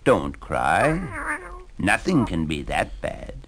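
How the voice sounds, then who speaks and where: A man's voice: deep voice, big pitch swings, slow pace, a bit bright, voice pushed down, exaggerated voice. A man voicing a cheerful, kindly donkey who talks in a hearty, encouraging way. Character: cheerful wandering male donkey, kindly leader.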